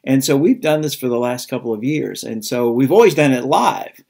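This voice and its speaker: A man giving an informal, explanatory introduction as a school staff member, in a halting way. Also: mid-range voice, expressive, vocal fry, a little mellow. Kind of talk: informal spoken introduction to camera.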